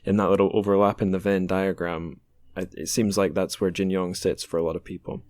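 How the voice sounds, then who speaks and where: A young man from Britain with a low voice, recorded close and dry. He hosts a podcast in a casual, chatty style, feeling his way through his thoughts as he speaks. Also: little pitch movement, a little fast, a little mellow, no echo. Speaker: podcast host. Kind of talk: casual interview chat.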